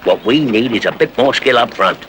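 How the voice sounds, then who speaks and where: A man's voice: medium pitch, expressive, a little fast, close to an everyday voice. A British man playing a breezy, boastful football fan in acted dialogue from a 1970s public information film. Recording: faintly noisy old film soundtrack.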